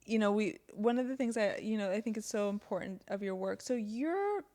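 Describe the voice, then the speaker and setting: A woman hosting a community radio interview, talking in a loose, chatty way and thinking out loud as she goes. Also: medium pitch, expressive, fairly quick. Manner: thinks aloud, warm and chatty. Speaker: radio show host. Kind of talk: casual interview conversation.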